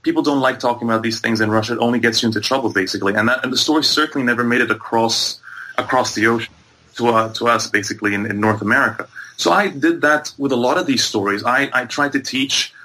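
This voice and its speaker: Male interview guest from Egypt, bright forceful voice, average pitch, earnest and explanatory. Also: fast talker, poor audio. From Egypt and Bahrain. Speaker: guest, sports journalist and MMA commentator. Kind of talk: conversational interview answers.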